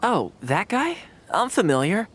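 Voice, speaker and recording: A man playing a courteous, obliging young official in a video game, speaking in a polite, measured way. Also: sits high, sing-song, a bit bright, breathy edge, heavily acted. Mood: musing.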